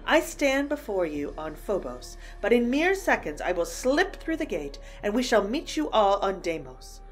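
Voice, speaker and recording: A woman's voice: mid-range voice, very animated, normal speed, lightly acted. A woman playing a self-assured lead scientist in an audio drama, speaking in a poised, declamatory manner. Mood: assured, proud. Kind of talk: theatrical audio drama speech. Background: music.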